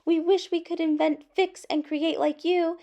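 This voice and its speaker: Woman voicing an excitable, high-pitched young girl unicorn. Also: normal speed. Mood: gushing apology. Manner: breathless, exclamatory, earnest pleading. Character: excitable young female unicorns, pleading and gushing.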